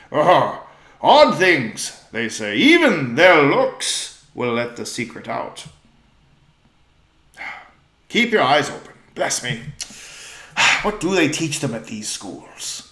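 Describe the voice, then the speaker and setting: A man's voice: a little high, wildly swooping pitch, slow pace, long pauses, a bit bright, heavily acted. A man voicing an eccentric, kindly old professor who splutters and chuckles in a storybook reading. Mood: excitable. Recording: roomy echo.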